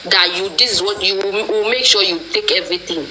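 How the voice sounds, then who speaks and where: A woman's voice: medium pitch, fairly quick, sharp tone, a little hiss or hum. A woman with a Nigerian accent speaking passionately and accusingly in a casual online discussion, leaning on proverbs. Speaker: discussion participant.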